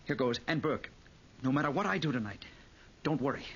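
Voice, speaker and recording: An actor playing an eager, cocky American who is morbidly fascinated by crime, in 1940s radio acting style. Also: male voice, medium pitch, animated, a little fast, lightly acted. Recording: muffled, noisy 1940s radio recording.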